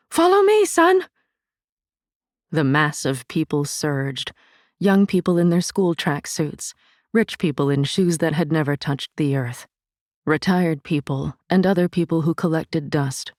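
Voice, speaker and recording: A woman narrates literary fiction in a measured, vivid storytelling manner. Her voice sits low, and the studio recording is close and dry. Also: darkish tone, dry recording. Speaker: audiobook narrator. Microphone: studio mic.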